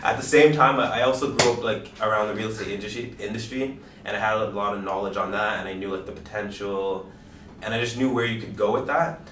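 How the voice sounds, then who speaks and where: A man's voice: on the low side, steady tone, fast, noisy background. A nineteen-year-old man, a mortgage agent, talking in a chatty, slangy way. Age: young adult. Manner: laughing, chatty, slangy ("type of thing"). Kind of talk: casual group chat. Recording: echoey room, mic at a distance.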